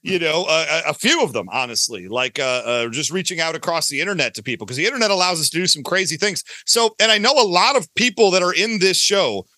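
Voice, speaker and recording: A man with a high voice speaks as a guest in a casual interview. His tone is bright and forward, and he talks at length, rephrasing as he goes. The sound is dry. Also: animated, quick pace, hardly any pauses, no echo. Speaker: guest, audio drama creator.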